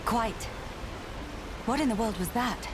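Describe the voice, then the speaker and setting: An actress playing a composed, thoughtful noblewoman with a formal, measured manner in a video game. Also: fairly high pitch, heavy background noise. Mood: alert, questioning. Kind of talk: video game cutscene acting. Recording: music over the dialogue.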